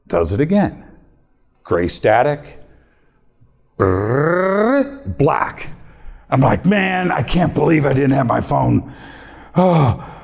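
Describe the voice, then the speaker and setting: Animated man telling a story. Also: mid-range voice, slow pace, vocal fry, pauses often, breathy edge. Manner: animated storyteller, acts out his own quotes. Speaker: radio host giving a talk. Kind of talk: storytelling talk to a live audience.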